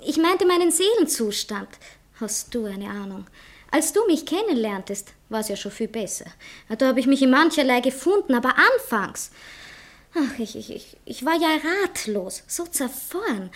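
An actress playing a self-important young divorced poetess in a satirical stage comedy, speaking theatrically and earnestly. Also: female voice, high voice, very animated, normal speed. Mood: self-pitying, earnest. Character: self-dramatizing young divorced poetess defending herself to her fiancé. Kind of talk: stage comedy acting in a radio play manner.

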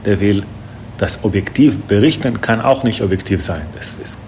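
Low gravelly Israeli man, noisy location. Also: moderate pace, a little mellow. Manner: non-native German grammar. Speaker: interviewee, restaurant owner. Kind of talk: spontaneous interview statement. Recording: noisy location interview with ambience.

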